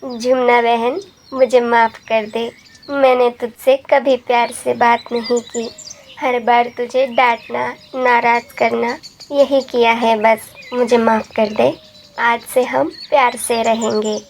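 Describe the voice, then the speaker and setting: A jealous young bird girl from a cartoon grumbles in a fairly high, slow voice, with a woman voicing her. Faint background noise runs under her. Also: level tone. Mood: pleading.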